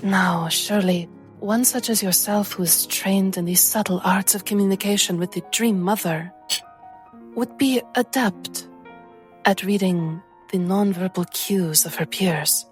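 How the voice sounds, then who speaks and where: A woman's voice: medium pitch, a little slow, faint music behind, natural voice. A woman voicing an imperious lady in a tabletop game, questioning in a formal, pointed, menacingly polite manner. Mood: coolly pressing.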